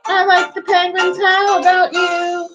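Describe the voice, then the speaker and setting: A woman's voice: extremely high pitch, little pitch movement, unhurried, muffled recording, loud music behind the voice. A woman teacher giving a read-aloud lesson to young children, speaking simply and encouragingly, with questions to the listeners.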